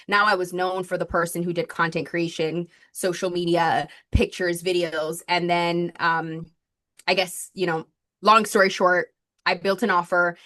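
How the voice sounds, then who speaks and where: A woman's voice: on the low side, fairly bright tone. A woman in her thirties from Colombia, a podcast guest telling her story in chatty talk full of fillers and likes. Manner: chatty, talks nonstop, many fillers and likes. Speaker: podcast guest, marketing strategist.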